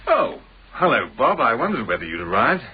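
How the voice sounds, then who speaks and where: A man's voice: mid-range voice, wildly swooping pitch, brisk. An actor playing an eager, apologetic British manager who defers to his boss in a radio drama. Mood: friendly. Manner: deferential, hesitant when pressed. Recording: dull, hissy radio drama recording.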